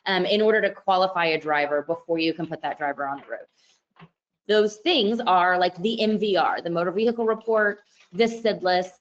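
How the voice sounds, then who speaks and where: Loud-voiced woman explaining compliance rules. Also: fairly low pitch, lo-fi sound. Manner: conversational and explanatory, frequent fillers and self-corrections. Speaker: company lawyer presenting a compliance webinar. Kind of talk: webinar presentation. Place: office at company headquarters, heavy rain outside.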